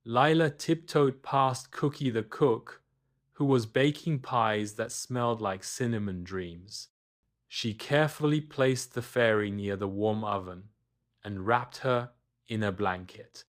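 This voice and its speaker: Slow-paced man narrating a bedtime story. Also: fairly low pitch.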